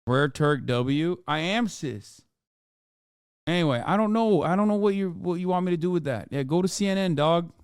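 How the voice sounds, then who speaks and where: A man in his thirties, a native Turkish speaker, rants bluntly and profanely as he reacts on stream. His voice sits a touch high and sounds smooth and clean. The close mic leaves it bone dry. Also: expressive, normal speed, no echo. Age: 30s. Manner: blunt, profane, exasperated ranting. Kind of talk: casual profane reaction commentary.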